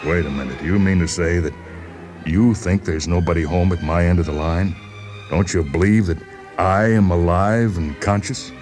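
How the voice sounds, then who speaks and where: A man playing a calm, reasoning doctor in a dramatized story, measured, hesitant and placating. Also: low-pitched, faint music behind, natural voice. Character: calm, reasoning male doctor humoring a troubled patient. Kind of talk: dramatized short story reading.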